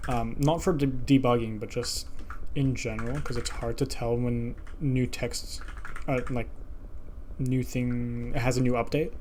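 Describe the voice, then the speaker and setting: A man thinking aloud on a casual programming livestream, halting and self-deprecating as he mutters to himself. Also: medium pitch, fairly even intonation, a little mellow, slight background noise. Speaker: live streamer coding a game.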